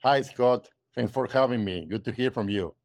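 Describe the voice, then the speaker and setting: A man's voice: medium pitch, moderate pace, no echo. A man in his forties from Argentina, talking conversationally as a podcast guest, often tagging "you know" and "right?". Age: 40s. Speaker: guest, realtor. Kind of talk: podcast interview.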